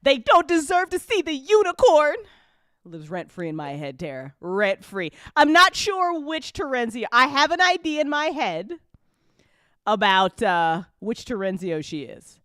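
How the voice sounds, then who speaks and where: Gushing, smooth-voiced woman hosting a chat. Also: a little high, big pitch swings, unhurried, a bit bright, no room sound, studio quality. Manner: gushing, emphatic, sweary. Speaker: host and audio drama writer. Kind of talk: casual after-show chat.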